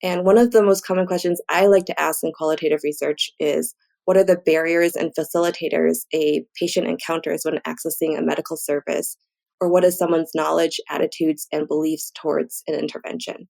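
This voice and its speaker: A woman with a somewhat low voice and noticeable creak speaks as a research expert being interviewed. She lays out her explanations in clear, orderly points. Her delivery is that of an academic guest walking through examples. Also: flat delivery, brisk, a little mellow.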